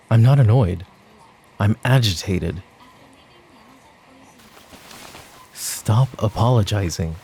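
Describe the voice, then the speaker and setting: A man playing a weary, exasperated mafia boss in an ASMR audio drama, talking in a dry, halting way. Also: on the low side, dark tone, breathy edge, exaggerated voice. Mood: agitated, terse. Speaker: voice actor in audio roleplay.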